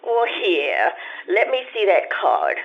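An actress playing a genteel, fretful society mother, proper and gently scolding, in an old-time radio style. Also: medium pitch, wildly swooping pitch, slow pace, vocal fry, heavily acted. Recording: muffled, noisy live radio stage recording.

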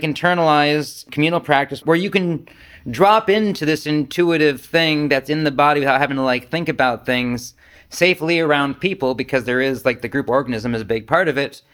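A man's voice: on the high side, fairly quick, fairly bright tone. Casual, self-deprecating man giving a talk. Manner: rambling, self-deprecating, joking asides. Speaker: Zen teacher and podcast host. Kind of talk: casual, conversational dharma talk to a group.

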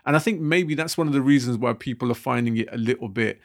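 A man's voice: mid-range voice, fast talker. A young man co-hosting a casual podcast discussion, thinking aloud and circling back with many restarts and fillers. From Britain. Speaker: podcast co-host.

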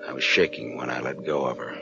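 Wry tough detective, American, very low voice, clipped 1940s radio style, music behind. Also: male voice, fairly even intonation, fast talker, creaky, muffled recording. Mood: brooding. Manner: sardonic, clipped tough-guy delivery. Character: wry, hard-boiled male private detective. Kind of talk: 1940s radio drama acting and narration.

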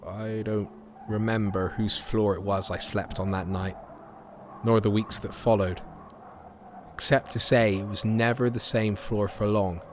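An actor playing a battered, troubled teenage younger brother with an English accent, halting and pleading, in a naturalistic audio drama. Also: male voice, on the low side, little pitch movement, slight background noise, close to an everyday voice. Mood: resigned, reflective.

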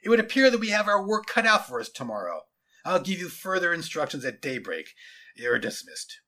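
A man acts out a zealous middle-aged general from an audio storybook. The voice is bright and forceful, pitched fairly high, with big swoops as he declaims and commands like someone who will not be questioned. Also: big pitch swings, brisk, heavily acted. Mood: brisk, satisfied. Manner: commanding, declamatory, barking orders.

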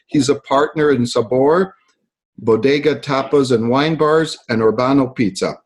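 A man hosting a podcast, reading a polished intro and asking interview questions in a measured way. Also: medium pitch. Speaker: podcast host.

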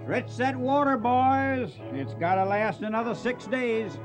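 Animated young cowboy trail boss. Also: male voice, very high-pitched, unhurried, music in the background, rough recording. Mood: urgent, commanding. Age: young adult. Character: young plain-spoken cowboy trail boss, respectful yet determined. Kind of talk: western radio drama acting.

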